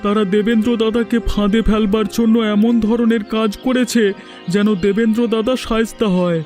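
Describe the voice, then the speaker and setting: Cartoon villager , male actor: high-pitched, concerned on the surface, persuasive, probing. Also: steady tone, a little fast, a little mellow, faint music behind, close to an everyday voice. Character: concerned, insinuating village man. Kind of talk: Bengali cartoon voice acting.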